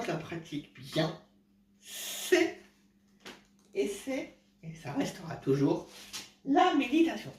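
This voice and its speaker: A man with a cold, chatting casually to camera at home at night, hesitant, often restarting and correcting himself. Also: high voice. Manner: halting, many restarts and self-corrections. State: congested with a cold. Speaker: vlogger giving home remedy tips. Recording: roomy, from a distance.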